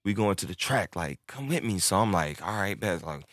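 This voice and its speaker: Casual guest: young man, very low voice, bright and dry, rambling talk full of filler. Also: expressive, brisk, dry recording. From born in Turkey, raised in the United States. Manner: loose, rambling, heavy filler, acts out quoted friends. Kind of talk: casual chat.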